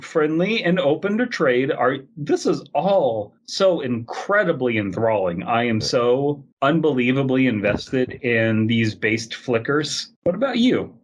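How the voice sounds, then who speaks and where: A man co-hosting a podcast in casual comedic chat, riffing on stories with mock enthusiasm and disbelief. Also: medium pitch. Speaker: podcast co-host. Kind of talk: casual comedic chat, reacting to read-out web fiction.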